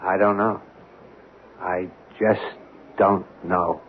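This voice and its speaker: A luckless trucker in a vintage radio play. His voice is on the low side, colloquial and world-weary, over a hissy, narrow broadcast recording. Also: fairly even intonation, very slow. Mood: bitter. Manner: plain-spoken, colloquial. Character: down-on-his-luck working man, trucker, weary and bitter. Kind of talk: old-time radio drama acting.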